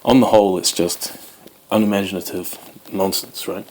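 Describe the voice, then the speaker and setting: A man's voice: low voice, steady tone, moderate pace, darkish tone, slight background noise, poor audio. A man in his twenties, born in Wales, chatting casually to camera as host of his guitar channel, rambling with many ums. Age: 20s.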